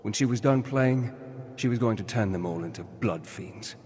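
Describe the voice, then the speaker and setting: An actor playing a solemn, regretful leader in a video game, speaking formally and measuredly. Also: male voice, fairly low pitch, normal speed, darkish tone, natural voice. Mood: grave explaining. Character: grave, regretful male mentor and leader guiding a campaign. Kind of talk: scripted video game dialogue. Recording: long hall-like reverb on the voice.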